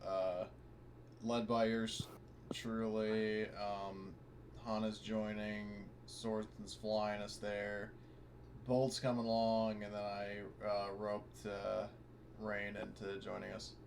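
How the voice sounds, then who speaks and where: A man's voice: lowish voice, level tone, slow pace, gravelly. A man playing a tabletop roleplaying game, chatting casually and partly in character over a voice call. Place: online voice call. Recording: noisy voice call.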